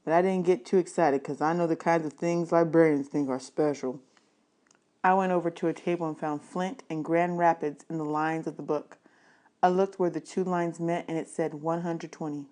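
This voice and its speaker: A woman's voice: low voice, fairly even intonation, moderate pace, warm tone. A woman reading a children's novel aloud as audiobook narration, in the manner of a teacher.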